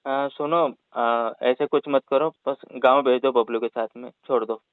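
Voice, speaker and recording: A man's voice: medium pitch, steady tone, measured pace, clean tone, no room sound. A man speaking hesitantly and placatingly in a phone conversation, over a narrow-band call recording.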